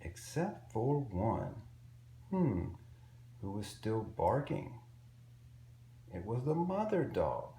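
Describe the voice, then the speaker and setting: A man reading a picture book aloud to young children in an expressive, simple storytelling way. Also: on the low side, slow pace, long pauses. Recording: roomy echo with faint background noise.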